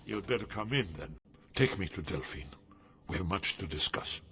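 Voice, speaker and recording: An older actor playing a wary, weary old scholar in hiding, in a fantasy video game. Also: male voice, low-pitched, expressive, normal speed, bright, forceful tone, full character voice. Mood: guarded, hurried.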